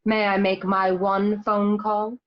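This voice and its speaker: A woman's voice: medium pitch, little pitch movement, slow, natural voice. A woman voicing a sly female cat burglar in an amateur radio play, composed and demanding. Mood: scheming. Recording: dull lo-fi home recording.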